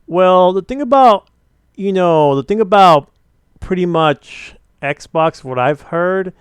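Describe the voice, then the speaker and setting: Young guy ranting about game subscriptions, kinda high voice, super clean and dry, lots of ums and uhs. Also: lively intonation, takes it slowly, clean tone, no room sound. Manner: rambling, opinionated, many fillers and restarts. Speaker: gaming channel host. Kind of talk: casual commentary on gaming news.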